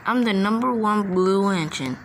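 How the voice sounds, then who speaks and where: A woman's voice: mid-range voice, normal speed, close to an everyday voice. A woman voicing a cheerful, helpful little blue tank engine with an eager, confident manner for a cartoon. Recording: noisy home recording.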